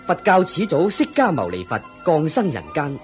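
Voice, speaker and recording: A man's voice: a little high, wildly swooping pitch, normal speed, soft background music. A man narrating a scripted story.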